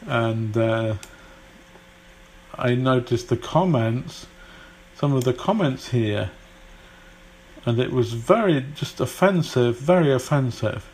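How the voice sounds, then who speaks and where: A man's voice: medium pitch, lively intonation, slow pace, pauses often, a little mellow, slight background noise. A man from Britain whose British English accent carries some Polish influence, teaching an informal English lesson in a rambling, hesitant way with many fillers and restarts.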